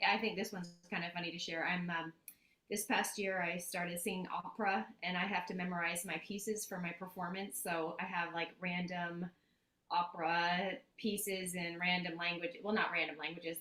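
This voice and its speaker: Bright-voiced woman in an echoey room. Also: fairly low pitch. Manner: rambling, self-correcting. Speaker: workshop participant. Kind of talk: casual sharing on a video call. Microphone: video call.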